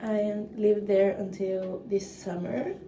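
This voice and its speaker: A young woman from northern Norway with a Norwegian accent, chatting casually in English while doing her hair, halting and full of fillers. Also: medium pitch, little pitch movement, dark tone. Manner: halting, frequent fillers and restarts. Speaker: vlogger. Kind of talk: casual get-ready-with-me chat. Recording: echoey room, mic far off, clear background noise.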